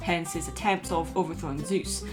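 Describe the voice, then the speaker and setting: A woman's voice: fairly low pitch, fairly even intonation, moderate pace, over music. A woman delivering scripted commentary as the narrator of a video essay.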